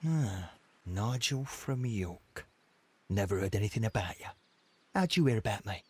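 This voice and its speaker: Curt, menacing gang boss. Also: male voice, lowish voice, animated, measured pace, darkish tone, a little hiss or hum. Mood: gruffly businesslike. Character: suspicious, bossy male criminal gang leader. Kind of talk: scripted video game acting.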